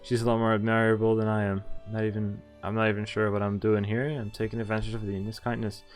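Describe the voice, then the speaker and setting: A man's voice: fairly low pitch, little pitch movement, fast. A man streaming a visual novel, reading its text aloud in an informal, jokey way and stumbling now and then as he adds casual asides. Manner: informal, jokey, stumbling over read lines. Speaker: video game streamer. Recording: music playing behind the voice. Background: game music.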